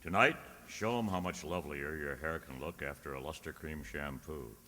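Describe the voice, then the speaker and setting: Casting: male old-time radio pitchman, very low bright voice, emphatic, recorded in a reverberant hall. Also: normal speed. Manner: emphatic, salesmanlike. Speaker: radio announcer. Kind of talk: old-time radio announcing and commercial read. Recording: reverberant hall, clear background noise.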